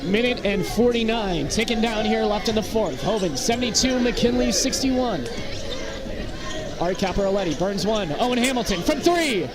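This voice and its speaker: An American man doing live basketball play-by-play in short, clipped phrases that turn excitable on big plays. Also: high voice, normal speed, over loud music. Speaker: play-by-play commentator. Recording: very loud gym crowd noise behind. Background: loud crowd and bouncing basketballs.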